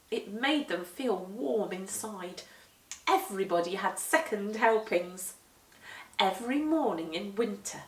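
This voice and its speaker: A woman reading a children's picture book aloud, with deliberate, animated and emphatic storytelling. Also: fairly high pitch. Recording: roomy echo, distant microphone.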